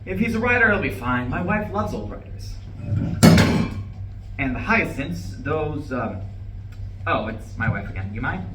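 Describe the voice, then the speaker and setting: Man acting a nervous rambling husband, animated. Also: on the high side, measured pace, long pauses. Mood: nervous, defensive. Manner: rambling, hesitant, self-correcting. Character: nervous, rambling, self-justifying husband riding home with flowers. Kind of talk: live play reading, dramatic monologue. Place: room at a live play reading. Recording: echoey distant live room recording, faint noise.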